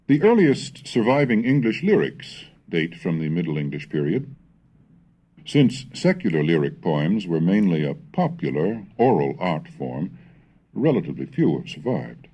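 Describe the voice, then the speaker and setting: A man reading a scripted educational recording on language history, scholarly and measured, turning theatrical when he recites old verse. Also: deep voice, vocal fry, mellow, breathy edge. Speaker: reader and lecturer on English language history. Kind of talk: scripted educational narration with dramatic recitation of Middle English texts. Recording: 1970s educational cassette recording.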